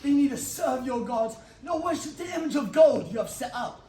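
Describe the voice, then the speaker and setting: A man's voice: very high-pitched, expressive, normal speed, dark tone, slight background noise. A man giving a declamatory, dramatized recitation of a Bible passage. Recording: echoey hall, far from mic.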